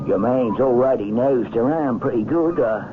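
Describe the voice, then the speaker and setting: An actor playing a deferential ship's captain in a radio mystery, gravelly and halting, hemming and hawing. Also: male voice, mid-range voice, music in the background, close to an everyday voice. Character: hesitant, deferential, talkative male ship's captain. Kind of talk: 1970s radio mystery acting. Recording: muffled, noisy vintage radio recording.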